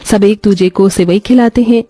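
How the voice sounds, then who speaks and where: A woman's voice: mid-range voice, fairly even intonation, normal speed, mellow. A woman narrating a scripted moral story as a storyteller. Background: faint music.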